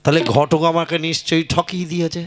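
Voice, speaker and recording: Man doing a low-pitched, chiding village father. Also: little pitch movement, fast talker, natural voice. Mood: reassuring. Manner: questioning, chiding, coaxing. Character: groom's older father, scolding yet coaxing, village puppet. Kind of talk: comic folk puppet-show acting.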